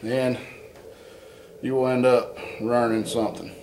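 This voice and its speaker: A man talks through an engine rebuild in a garage workshop, plain-spoken and unhurried, thinking aloud as he works. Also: medium pitch, flat delivery, a little mellow, slight background noise. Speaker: home mechanic demonstrating an engine rebuild. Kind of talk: casual hands-on how-to. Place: barn or garage workshop, indoors.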